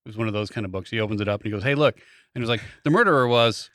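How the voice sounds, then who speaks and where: Quick-talking man trading wry quips. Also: fairly low pitch, fairly bright tone, no echo, studio quality. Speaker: comedy podcast co-host. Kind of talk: casual comedic banter.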